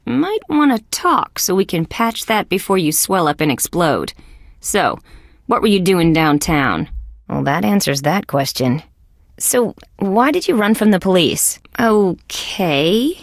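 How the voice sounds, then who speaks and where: Wry young lady detective for a video game, deadpan and sarcastic, bit low with lots of fry. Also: unhurried, no echo, studio quality, exaggerated voice. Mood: businesslike questioning. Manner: deadpan, sardonic. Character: wry young female police detective, dry and skeptical. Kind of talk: scripted game character lines.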